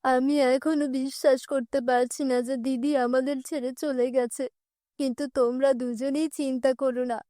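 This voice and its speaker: A kindly, protective uncle in a cartoon fairy tale performed by a woman narrator. The voice drops below her storytelling pitch and is firm and earnest, with no room echo. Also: little pitch movement, moderate pace, warm tone, clean studio sound, lightly acted. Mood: sorrowful, caring.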